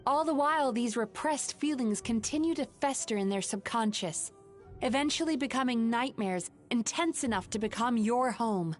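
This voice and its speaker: A woman voicing a game heroine, speaking in an earnest, calm and steady way. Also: a little high, moderate pace, soft background music, close to an everyday voice. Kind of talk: game voice acting.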